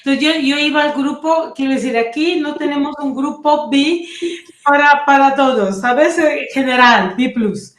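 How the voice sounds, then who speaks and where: A woman from Naples with an Italian accent, a native Italian speaker, telling personal stories as an activist guest in a casual interview, animated and full of restarts. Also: high voice, unhurried. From Italy, Naples. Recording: roomy echoey room.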